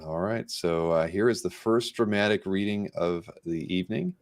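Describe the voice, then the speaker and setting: A man with a low-pitched voice hosts a show. He speaks in an informal, conversational way, as in a casual interview. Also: normal speed. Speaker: show host.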